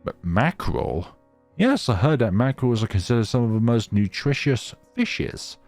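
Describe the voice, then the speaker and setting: A man's voice: medium pitch, sing-song, normal speed, darkish tone, no room sound, soft background music. A man voicing a polite, reserved young woman in a visual novel, courteous and matter-of-fact.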